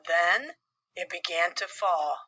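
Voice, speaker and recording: An American woman reading a children's storybook expressively, with dramatic, deliberate phrasing and emphatic pauses. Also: lowish voice, sharp tone, husky, muffled recording. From United States. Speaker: audiobook reader.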